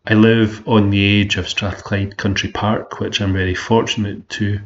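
Scottish male podcast host, low-pitched, chatty and friendly, muffled home recording. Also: level tone, normal speed. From Scotland. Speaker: podcast host, amateur gardener. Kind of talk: solo podcast talk.

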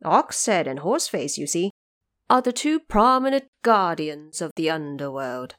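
A woman narrating a scripted audio drama tale, pausing for explanatory asides. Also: on the low side, sing-song, fairly bright tone, no echo, studio quality.

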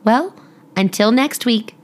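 A woman hosting a children's story podcast, speaking expressively and deliberately as if reading aloud to young listeners. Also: a little high. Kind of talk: children's storybook narration and friendly address to listeners.

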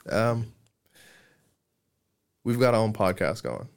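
A young man hosting a casual podcast interview, relaxed and friendly, swearing now and then. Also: fairly low pitch, slow, smooth. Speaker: podcast host.

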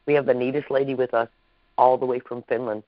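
A man's voice: mid-range voice, little pitch movement, fast talker, no room sound. A man hosting a podcast interview, effusive and gushing, often repeating himself. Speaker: podcast host. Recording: dull lo-fi call audio.

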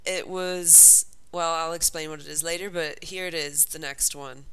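A woman's voice: deep voice, fairly even intonation, moderate pace, crowd noise. A woman co-hosting a casual podcast about old toy commercials, talking in a rambling way with lots of ums and sounding sniffly from a cold. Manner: chatty, rambling, with frequent ums. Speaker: podcast co-host.

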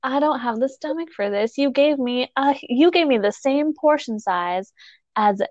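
A woman co-hosting a podcast in casual chat, relaxed and teasing, laughing along. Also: high-pitched, normal speed, no room sound, muffled recording. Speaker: podcast co-host.

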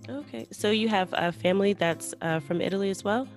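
A woman's voice: mid-range voice, a little fast, soft background music. A woman hosting a podcast, chatting casually with her guest and using many fillers. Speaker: podcast host. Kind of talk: casual interview chat.

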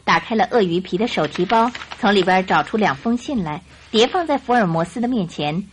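Mid-pitched woman narrating a radio drama. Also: expressive, fairly quick, a little hiss or hum. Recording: radio drama with music behind.

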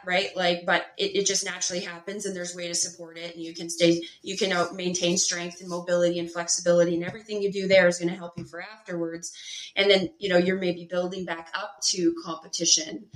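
A woman explaining fitness in a casual, animated way, with many fillers and rhetorical questions. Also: lowish voice, brisk. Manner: animated, lots of filler words and rhetorical "right?". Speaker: pelvic health and fitness expert guest. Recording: echoey room.

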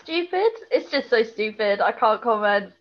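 A woman's voice: on the high side, expressive, moderate pace, dull audio. A British woman co-hosting in casual banter, with a playful, teasing manner. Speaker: podcast co-host. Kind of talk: casual banter, reacting to an episode recap.

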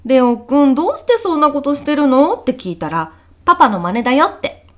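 A woman's voice: high voice, fairly quick. A woman playing a chirpy, polite but gossipy kindergarten teacher in a comedic radio drama. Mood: gleefully gossipy. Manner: polite, effusive, animated. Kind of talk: comedic short radio drama, phone meeting.

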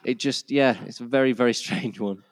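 Yorkshire bloke hosting a podcast, chatty, mid pitch, really dry close sound. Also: male voice, fairly quick, no echo. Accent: Yorkshire English. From Yorkshire, England. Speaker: podcast host. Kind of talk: casual hosted chat.

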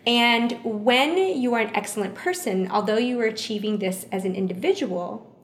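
A woman, born in the United States with Vietnamese as her first language, answering interview questions in a thoughtful, measured way and repeating phrases for emphasis. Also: on the high side, animated, roomy sound. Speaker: interview guest.

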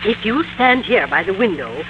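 A woman's voice: mid-range voice, sing-song, normal speed, dull audio, music in the background, natural voice. An actress playing a polite, confiding, gossipy policewoman in a 1950s radio sitcom. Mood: helpful, confiding. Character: solicitous, gossipy female juvenile hall policewoman. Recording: noisy old-time radio recording.

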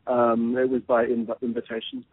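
A man editing an anthology answers interview questions, thoughtful and lively, often restarting his phrases. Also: medium pitch, normal speed, gravelly, slightly rough, no room sound. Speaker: anthology editor. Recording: narrow phone-like line, dry.

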